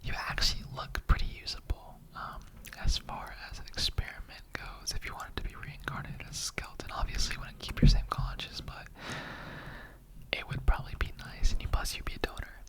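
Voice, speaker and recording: A performer voicing a chatty, earnest immortal skeleton interrogator in a whispered ASMR roleplay, rambling conversationally with halting asides. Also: lightly acted, rough recording. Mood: earnest, curious.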